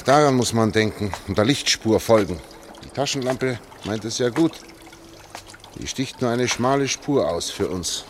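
An actor plays a terse man leading an escape in a radio play. His voice is low, his manner practical with a dry, ironic edge. Faint trickling water sounds behind him. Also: a little hiss or hum, lightly acted. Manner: matter-of-fact, dry, ironic. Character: terse, sardonic man guiding an escape through sewers. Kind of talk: German radio play acting.

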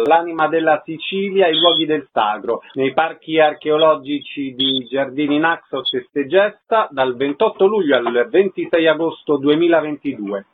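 A man's voice: fairly high pitch, slight background noise. A man hosting a radio show with concise announcements and questions. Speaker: radio host, interviewer. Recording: narrow lo-fi radio sound.

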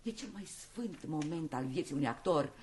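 An actress playing a proud, high-strung theatre actress in a radio play, declaiming in a grand, sentimental, impassioned way. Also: female voice, animated, darkish tone, slight background noise.

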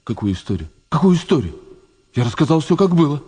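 Jittery, pleading, husky convict. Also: male voice, fairly high pitch, very animated, fast, dark tone, in a large hall. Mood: wistful, pleading. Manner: rambling, plaintive, jittery, colloquial. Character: frightened, naive male convict pleading his innocence. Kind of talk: Soviet radio drama acting.

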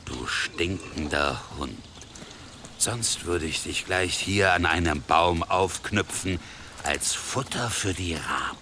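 Very deep, slow, menacing man. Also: noisy background. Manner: slow, threatening. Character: menacing deep-voiced man, contemptuous and threatening. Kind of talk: German radio drama acting.

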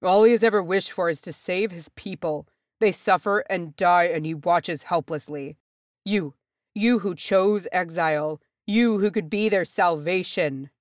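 A woman's voice: on the low side, close to an everyday voice. A fierce, grieving warrior from a fantasy story voiced by a woman. He growls his reproach with urgent, oratorical force. The sound is dry and free of room echo.

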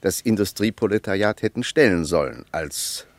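A bitterly critical male intellectual in a radio play. His lowish voice swings widely as he lectures, emphatic and rhetorical, in a very dry studio. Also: animated, moderate pace, dry recording. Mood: emphatic, insistent.

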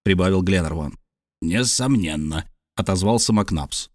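A man narrating an adventure audiobook in a measured, steady reading style. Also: medium pitch, dry recording, clean studio sound. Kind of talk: scripted narration.

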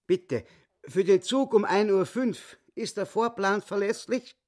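Boastful little swindler, theatrical, pompous, indignant, lively swooping pitch, close dry studio radio drama. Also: male voice, unhurried, no echo. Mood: haughty. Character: boastful little swindler man posing as an American gentleman. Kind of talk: German radio play acting.

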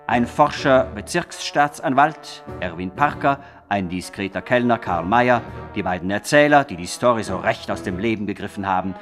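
A man's voice: fairly low pitch, a bit bright. A man reading credits as a scripted, tongue-in-cheek 1960s radio announcer in a mock-solemn manner. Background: music.

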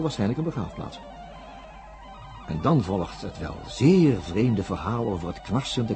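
An actor playing an analytical, sceptical male investigator, narrating a science-fiction radio drama in a deliberate, formal, pedantic manner. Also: medium pitch. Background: music with plucked guitar.

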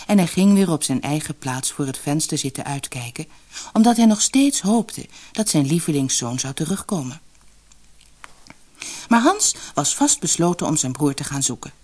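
A woman narrating a fairy tale for an audiobook in an expressive, dramatic storytelling manner. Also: deep voice, normal speed, husky.

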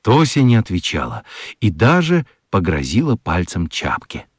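A man narrating a children's radio play as an expressive storyteller. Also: sits low, normal speed, breathy edge, no echo, studio quality.

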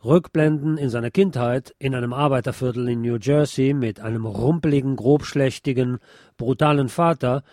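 Dunkel klingender Filmkritiker, trockene Aufnahme. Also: male voice, mid-range voice, moderate pace, warm tone, no room sound, studio quality. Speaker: film reviewer. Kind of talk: scripted radio-style review narration.

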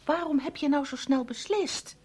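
High-voiced, wry Dutch wife played by an actress. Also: female voice, moderate pace, a little mellow, natural voice. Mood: indignant. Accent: Netherlands Dutch. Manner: wry, teasing, assertive. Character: dry, sceptical wife sparring with her husband. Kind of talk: Dutch radio play acting.

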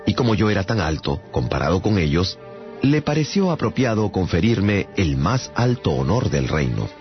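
A man's voice: low voice, moderate pace. An actor playing an earnest, courteous traveler, a giant among tiny people, telling his adventures in a radio drama. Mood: storytelling. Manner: courteous, earnest, expressive storyteller. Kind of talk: storytelling narration in radio drama. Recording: dull sound with music behind.

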